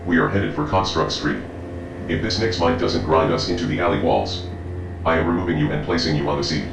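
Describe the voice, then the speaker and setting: A man playing a stiff, literal-minded, bluntly determined machine bodyguard in an audio drama, speaking in formal deadpan. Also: a bass voice, brisk, creaky, reverberant, heavy background noise, full character voice. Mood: stern, determined. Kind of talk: scripted audio drama acting.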